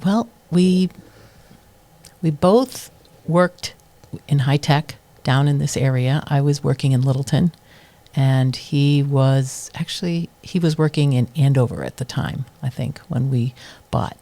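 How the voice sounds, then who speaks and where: A woman's voice: extremely low pitch, a little slow, warm tone. A middle-aged woman from New Hampshire, a committee chair, answering interview questions casually and thoughtfully, with many ums and restarts.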